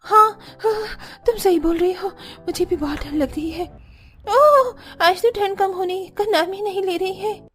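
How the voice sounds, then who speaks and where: A woman's voice: very high voice, unhurried, fairly bright tone. A woman voicing a bratty, lazy young sister-in-law in a cartoon, whiny and demanding. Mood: complaining. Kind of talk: Hindi cartoon dialogue acting.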